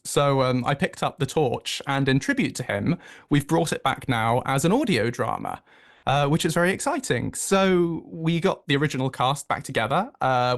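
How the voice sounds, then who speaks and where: A British man with a slightly high voice talks as a radio interview guest. He is effusive and enthusiastic, explaining things in an easy conversational way. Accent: British English. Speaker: interview guest, audio drama producer.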